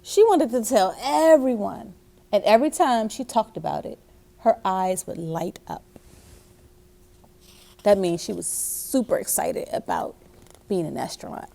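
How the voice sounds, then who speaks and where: A woman reading a children's story aloud in an expressive way, speaking directly to young listeners. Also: mid-range voice, unhurried, vocal fry, long pauses. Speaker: story time reader. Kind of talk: reading a children's picture book aloud with asides.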